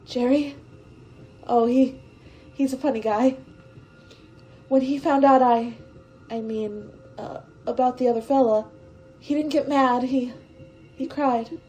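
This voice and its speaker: A woman's voice: high voice, steady tone, slow, dark tone, dull audio, soft background music. An actress playing a frightened, wistful young woman stowaway in a science-fiction radio drama, speaking hesitantly and with tender emotion. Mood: wistful, sad.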